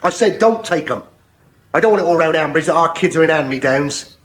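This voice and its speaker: An actor playing a proud, bitter bankrupt farmer with a regional English accent in a 1980s radio soap. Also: male voice, on the high side, animated, fairly quick, natural voice. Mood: proud, angry. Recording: faintly noisy old radio broadcast.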